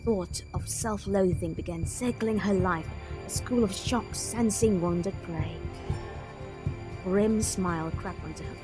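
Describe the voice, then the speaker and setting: A woman's voice: medium pitch, unhurried, poor audio. A woman reading dramatic scripted narration for a visual novel as its storyteller. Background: music clearly behind the voice.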